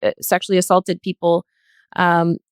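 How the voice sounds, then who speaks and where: Thoughtful woman with a lower-pitched voice. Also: completely flat delivery, clean tone, no room sound, studio quality. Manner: thoughtful, earnest, measured. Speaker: podcast guest. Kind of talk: reflective panel discussion.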